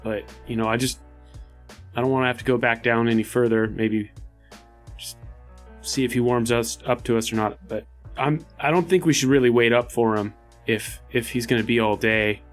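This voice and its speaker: A man's voice: mid-range voice, fairly even intonation, moderate pace, faint music behind. A man playing a tabletop RPG, improvising casually in character in a hesitant, rambling way. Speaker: player in a tabletop RPG podcast.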